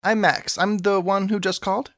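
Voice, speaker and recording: A man's voice: high voice, level tone, moderate pace, a bit bright, dry recording, studio quality. A man playing an earnest, awkward young superhero sidekick who politely rambles through explanations in an audio drama. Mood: sheepish. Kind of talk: scripted audio drama dialogue.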